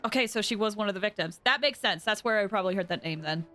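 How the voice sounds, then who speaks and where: A woman's voice: medium pitch, fast talker, pushed and bright. A woman chatting casually and playfully as she comments on a game live, often repeating herself. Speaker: game streamer.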